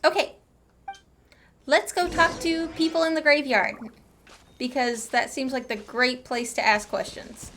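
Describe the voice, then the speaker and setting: A woman's voice: high-pitched, fairly even intonation, unhurried, long pauses, fairly bright tone, slight background noise. A woman hosting a gameplay video with casual, chatty commentary and wry asides. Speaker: gaming channel host. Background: game music.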